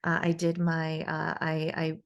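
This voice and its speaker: Woman guest from new york, a bit low pitched, explains stuff carefully in an interview, pauses a lot. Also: completely flat delivery, highs cut off. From born in South Korea, grew up in New York City. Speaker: guest, facial plastic surgeon. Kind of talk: conversational interview answers.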